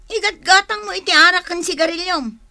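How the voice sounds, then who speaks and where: A woman's voice: extremely high pitch, brisk, vocal fry, sharp tone, full character voice. A woman with an Ilocano accent playing an exasperated, scolding daughter and wife in a radio drama. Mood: nagging, exasperated. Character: exasperated grown daughter, nagging wife caring for sick father.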